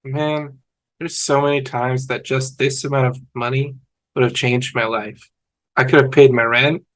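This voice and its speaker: A man's voice: medium pitch, flat delivery, a little slow, fairly bright tone, lightly acted. A man reading a cheerful, dim, good-hearted young man in a sitcom table read. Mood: cheerful, earnest.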